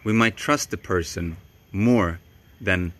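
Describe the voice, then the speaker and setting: A young man whose first language is Swedish, teaching voice technique to the camera in a slow, calm and deliberate way with long pauses, outdoors in a tropical garden. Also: sits low. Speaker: voice coach.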